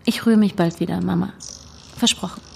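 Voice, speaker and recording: A woman's voice: fairly low pitch, fairly even intonation, a little fast, gravelly, a little mellow, a little hiss or hum. An actress playing a guarded young activist woman in naturalistic audio drama dialogue. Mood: guarded, reassuring. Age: young adult. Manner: reassuring, evasive.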